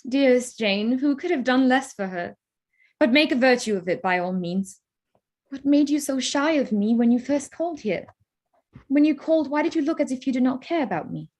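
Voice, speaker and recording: A woman playing a witty, spirited young gentlewoman in a staged reading of a Regency romance, arch and composed. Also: high-pitched, lively intonation, moderate pace. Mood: teasing. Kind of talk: staged reading of a period drama.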